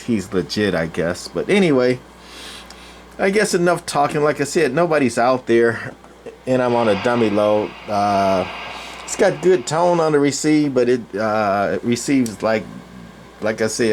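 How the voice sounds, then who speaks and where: Halting casual man, noisy recording. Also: medium pitch. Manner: halting, rambling, informal. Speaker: channel host, vintage CB radio collector. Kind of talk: casual off-the-cuff product demonstration. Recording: clear background noise, room ambience.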